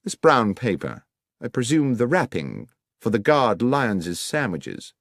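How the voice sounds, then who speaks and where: A man voicing a commanding gentleman detective in an audiobook, with precise, formal, probing speech. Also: medium pitch, huge pitch swings, unhurried, creaky, no room sound, clean studio sound, voice pushed up, full character voice. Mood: observant.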